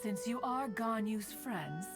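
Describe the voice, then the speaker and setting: A woman's voice: a little high, lively intonation, slow pace. A woman voicing an ancient female crane spirit in a game, proud and teasing, speaking in archaic, stately phrases and calling herself "one". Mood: fond. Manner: archaic stately speech, refers to self as "one". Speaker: game character. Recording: loud music behind.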